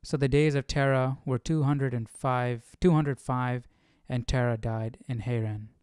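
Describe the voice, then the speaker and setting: A man with a smooth, clear voice and a very dry sound leads a casual live study session. He takes his time, reading passages aloud and puzzling over them with questions to himself. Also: mid-range voice, steady tone, unhurried, darkish tone, no room sound. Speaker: host of a live Bible study.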